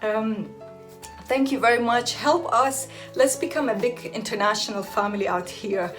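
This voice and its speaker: A woman's voice: fairly high pitch, moderate pace, fairly bright tone, soft background music. Chatty woman, native Aramaic speaker. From Iraq. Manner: chatty, laughs often, loose restarts. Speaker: hair and beauty vlogger. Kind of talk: casual talk to camera.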